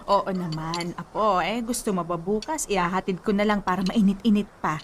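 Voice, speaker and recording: A woman acts out a kindly old grandmother who dotes on her grandchild. The voice sits at a middle pitch, in radio drama style. There is faint background noise. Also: steady tone, moderate pace, lightly acted. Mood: warm, indulgent.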